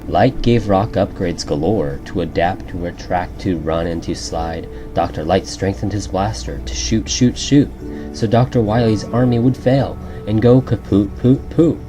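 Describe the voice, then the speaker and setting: Guy reading a rhyming bedtime story, asmr style, lowish voice, music playing behind. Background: background music.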